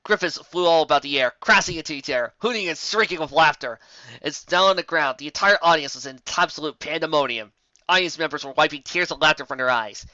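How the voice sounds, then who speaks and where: A man's voice: fairly high pitch, fast talker, pushed and bright, no echo, highs cut off. A man reading a fantasy story aloud in a theatrical, dramatic way. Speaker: narrator, reader. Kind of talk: expressive amateur fan fiction reading.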